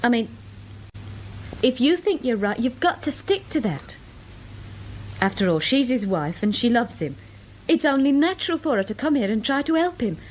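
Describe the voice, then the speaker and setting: An actress playing a loyal, no-nonsense English chip shop worker in a radio drama, plain-spoken and affectionate. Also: female voice, on the high side, big pitch swings, moderate pace, pauses often, a little hiss or hum, poor audio. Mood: reassuring. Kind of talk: British radio drama acting.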